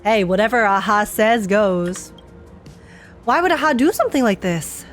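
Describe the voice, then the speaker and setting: A woman's voice: medium pitch, sing-song, moderate pace, soft background music. A young woman streamer giving casual, chatty reaction commentary on a game, laughing often.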